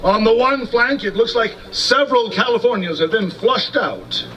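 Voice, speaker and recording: Man as animated, courteous battlefield commentator, outdoors. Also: extremely high pitch, normal speed, muffled recording. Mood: expectant, narrating. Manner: formal, polite, announcer-like. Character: courteous, curious battlefield commentator questioning guests. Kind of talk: live scripted historical reenactment narration. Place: outdoor stone amphitheater in open scrubland. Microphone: stand mics, recorded from a distant camera. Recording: distant outdoor recording, faint ambient noise.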